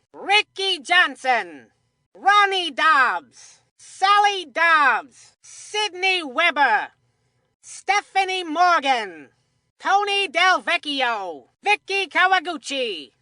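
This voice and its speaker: High, bright kid sportscaster. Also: female voice, dry recording, studio quality, exaggerated voice. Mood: proud announcing. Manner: folksy, earnest announcer delivery. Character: eager, chatty kid sports commentator boy. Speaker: sports commentator. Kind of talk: cartoon game sportscast commentary.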